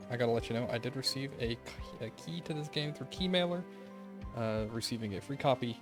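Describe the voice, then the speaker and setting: A man hosting a game review video with casual, tentative, unscripted commentary, thinking aloud as he goes. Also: mid-range voice.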